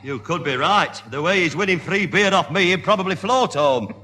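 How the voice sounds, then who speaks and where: A man's voice: high voice, lively intonation, normal speed, bright, forceful tone. A man playing a grumpy, sarcastic older pub regular in a radio sitcom. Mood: grumbling. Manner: sarcastic, grumbling. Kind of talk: old-time radio sitcom acting. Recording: noisy old radio recording with clicks.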